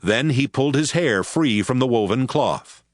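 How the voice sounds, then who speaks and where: A man's voice: medium pitch, animated, normal speed, no echo, studio quality. A man giving scripted narration for a Bible audio drama.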